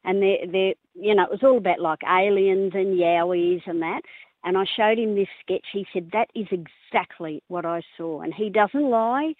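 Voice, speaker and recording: A woman's voice: medium pitch, normal speed, dry recording. A woman with an Australian accent calling a radio show by phone, casually telling an anecdote. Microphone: telephone. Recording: tinny phone line.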